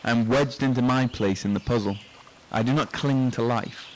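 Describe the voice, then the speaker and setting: A man's voice: medium pitch, moderate pace, a little hiss or hum, lightly acted, poor audio. An actor playing a reflective, resigned middle-aged man in a literary radio drama, speaking in poetic, declamatory phrases. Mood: wistful.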